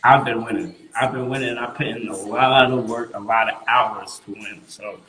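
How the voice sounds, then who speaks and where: A man's voice: medium pitch, steady tone, moderate pace, bright, forceful tone. Emphatic New man in a roomy space. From Queens, New York. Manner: emphatic, repeats himself, slangy. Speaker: guest artist. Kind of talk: casual interview chat.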